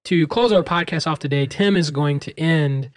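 A man's voice: a little high, normal speed, dry recording, studio quality. A man hosting a podcast in a conversational way. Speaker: podcast host.

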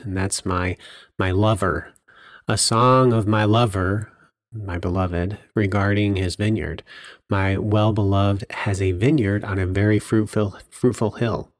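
Low-voiced man teaching from the Bible. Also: fairly even intonation, a little slow, no room sound, studio quality. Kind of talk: informal devotional Bible teaching.

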